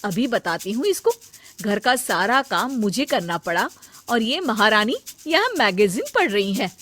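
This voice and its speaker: A woman voicing a dutiful, hardworking elder daughter-in-law in a cartoon story, earnest and quick to scold. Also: fairly high pitch, very animated, fairly bright tone, dry recording, heavily acted.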